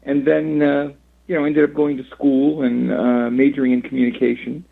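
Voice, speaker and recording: A man in his sixties from Rhode Island, a radio interview guest who talks in a halting way with many fillers and restarts, heard over a phone line. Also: medium pitch, level tone, creaky. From Rhode Island, USA. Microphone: phone call.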